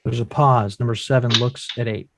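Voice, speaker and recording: A man's voice: medium pitch, fairly even intonation, normal speed, noisy background. A man reading stage directions as scripted narration.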